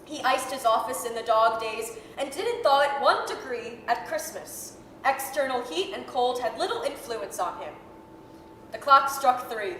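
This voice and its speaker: A woman narrating a story dramatically, with emphatic, deliberate phrasing. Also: a little high, expressive, sharp tone. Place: performance hall stage. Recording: echoey hall with reverb, faint noise.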